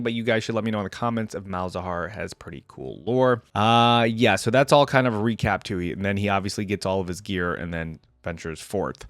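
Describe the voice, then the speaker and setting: A man's voice: mid-range voice, dry recording. Man reacting casually, dry close sound. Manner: conversational, enthusiastic, thinking aloud. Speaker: host of a game lore reaction channel. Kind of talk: casual reaction commentary mixed with reading aloud.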